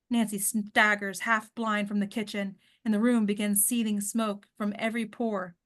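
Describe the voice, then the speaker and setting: Female narrator for a script table read, slightly high voice, trips on and repeats some words. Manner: stumbles over written words and rereads them. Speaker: narrator reading stage directions.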